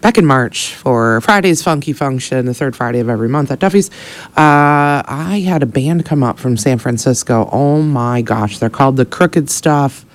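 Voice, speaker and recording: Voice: mid-range voice, normal speed. Bubbly joking radio host. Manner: bubbly, joking, self-correcting. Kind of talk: casual on-air chat. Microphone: studio microphone.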